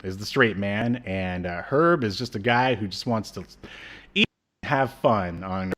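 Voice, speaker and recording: A man's voice: lowish voice, measured pace, a bit bright. Man casually reviewing, hesitant and rambling. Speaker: podcast co-host. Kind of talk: casual media review chat. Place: small room at home. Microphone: video call setup.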